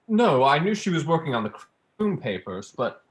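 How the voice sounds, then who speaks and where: A man's voice: a little high, sing-song, normal speed. A young man acting a polite, cagey male visitor with a hesitant manner in a staged play reading. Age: young adult.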